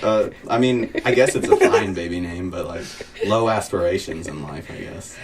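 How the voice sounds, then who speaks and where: A man's voice: low voice, lively intonation, normal speed, a crowd in the background. A young man co-hosting a college radio show in a studio, teasing his guests with dry jokes in casual chat.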